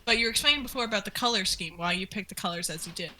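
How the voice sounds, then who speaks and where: Very bright-voiced woman co-hosting casually. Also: mid-range voice, very fast. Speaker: co-host. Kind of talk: casual commentary chat.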